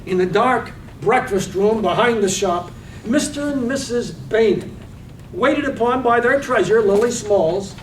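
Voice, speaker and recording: A man plays a poetic village storyteller in a radio play reading, heard at a distance in an echoey room. The voice is unusually high, and the phrases are measured and savoured. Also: lively intonation. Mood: lyrical. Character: lyrical storyteller narrating village life. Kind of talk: scripted radio-play narration. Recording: echoey, distant microphone, faint room noise.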